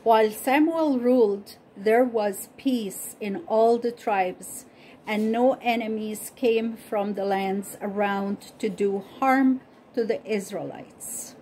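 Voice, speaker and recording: Slow-reading woman, native Arabic speaker. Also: medium pitch, mellow, a little hiss or hum, low-quality recording. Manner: slow, careful reading, repeating each question. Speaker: Bible study host. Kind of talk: slow reading of a Bible story with study questions.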